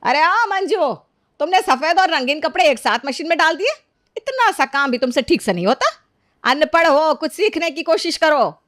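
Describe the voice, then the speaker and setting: A woman's voice: high voice, lively intonation, normal speed, a bit bright, no room sound, clean studio sound, voice pushed up. A woman voicing a harsh, scornful middle-aged mother-in-law who scolds and orders her maid about in a cartoon story. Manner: scolding, commanding, sarcastic. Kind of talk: Hindi cartoon story character dialogue.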